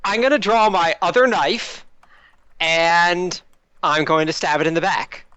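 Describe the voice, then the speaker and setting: A man's voice: high-pitched, measured pace, pushed and bright, smooth, no room sound, lo-fi sound. A man chatting casually and wryly as a player in a tabletop game over a voice call. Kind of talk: casual chat. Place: remote online voice call.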